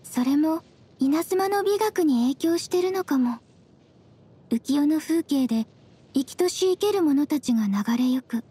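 Casting: young traveler heroine, anime game, very high girlish pitch, soft-spoken, composed, gently teasing. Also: lively intonation, measured pace. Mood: gentle, reflective.

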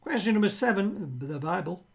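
An older British man hosting a quiz, speaking in a deliberate way and repeating himself. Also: high voice, expressive, gravelly, husky. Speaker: quiz host. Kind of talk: reading quiz questions to camera. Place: small room at home with bookshelves.

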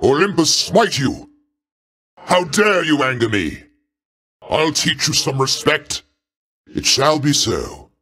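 A man voicing a mighty, proud king of the gods, speaking in an imperious, booming way. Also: expressive, takes it slowly, pauses often, sharp tone, husky, full character voice. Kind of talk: video game voice lines.

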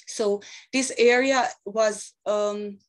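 Woman, 30s, Bosnian accent, English not her first language, earnest, halting, webcam video call, small office. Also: mid-range voice, fairly even intonation. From Bosnia and Herzegovina. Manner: earnest, halting, thinks aloud between phrases. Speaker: panel speaker. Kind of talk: webinar talk. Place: small office with shelves of books, indoors.

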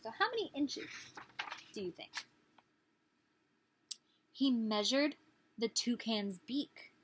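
A woman's voice: medium pitch, fairly bright tone, breathy edge, rough recording. A woman in her thirties reading a picture book aloud as a teacher, expressively, putting questions to small children. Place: small living room, indoors.

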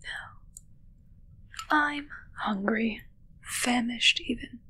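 A woman playing a possessive, commanding witch in a whispered ASMR roleplay, softly taunting and close to the microphone. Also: fairly high pitch, drawn-out words, slightly rough, exaggerated voice, low-quality recording. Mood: imperious, demanding. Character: possessive, commanding young female witch addressing her familiar.

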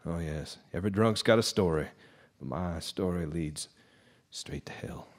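A man's voice: deep voice, unhurried. An actor playing a bitter, haunted, hard-drinking guitarist, telling his tale in a brooding, theatrical noir radio drama style. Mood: weary, bitter. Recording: live stage recording with background noise.

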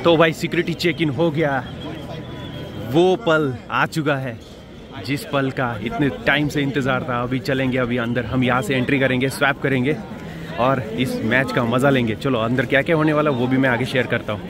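A young man vlogging with a handheld camera at an outdoor cricket stadium, chatting excitedly to his viewers. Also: medium pitch, moderate pace. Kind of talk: casual walking vlog. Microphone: handheld action camera. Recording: noisy outdoor crowd ambience.

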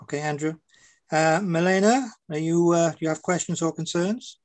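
A man's voice: a little high, normal speed. A man chairing an online meeting, calling on members one by one for their questions.

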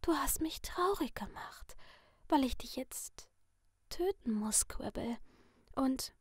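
A woman voicing a sinister masked male killer in a slow, hushed, toying whisper. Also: high-pitched, lively intonation, slightly rough, no room sound, studio quality, full character voice. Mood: mock sorrow.

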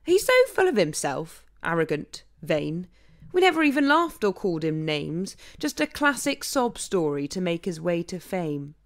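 A woman's voice: fairly low pitch, very animated, moderate pace. A woman voicing a jealous, scornful male reindeer gossiping with his herd, in a storybook poem.

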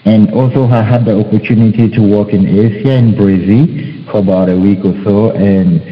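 Male panelist from Cameroon, low pitch, halting delivery; muffled, reverberant call audio. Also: fairly even intonation. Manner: halting, searching for words. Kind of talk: casual video call discussion.

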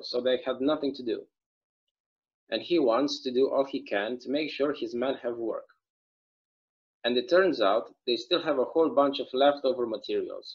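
A folksy small-town bartender played by a young man at a table read, chatty and given to rambling storytelling. His pitch sits mid-range for a man. The sound is a slightly dull, lo-fi video call. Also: natural voice. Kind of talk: online table read of a stage play. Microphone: video call microphone.

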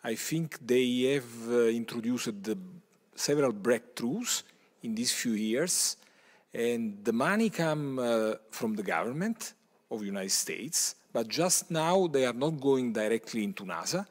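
A man on a panel answering an audience question in a measured, halting way, speaking into a table mic in a large lecture hall. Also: mid-range voice, expressive. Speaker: panelist, space scientist. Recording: long hall reverb.

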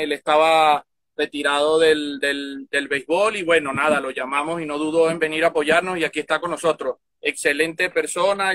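A man's voice: fairly high pitch, a bit bright, no room sound. A man, speaking for a baseball club in an interview, hesitant and full of fillers, recorded outdoors on a phone. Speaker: club representative, guest. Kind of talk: casual interview answers. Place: outdoors by a field.

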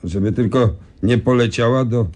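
A man playing a husky crude henchman. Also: mid-range voice, steady tone, moderate pace, darkish tone, natural voice. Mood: nervous. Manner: mocking, then nervously reporting to his boss. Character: male hired thug, crude henchman. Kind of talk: 1990s radio drama acting.

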